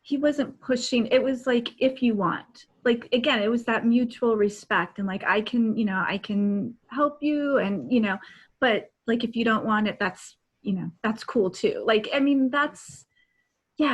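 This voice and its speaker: Highish husky woman cohosting a book chat online, halting, lots of asides. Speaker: co-host. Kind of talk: casual book discussion. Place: indoor room at home, video call. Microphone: video call.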